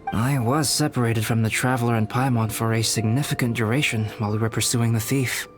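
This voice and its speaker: Man acting a calm alchemist knight, lowish voice, reserved, polite, precise, video game dialogue. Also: close to an everyday voice. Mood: composed, reasoning. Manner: measured, formal, precise, detached.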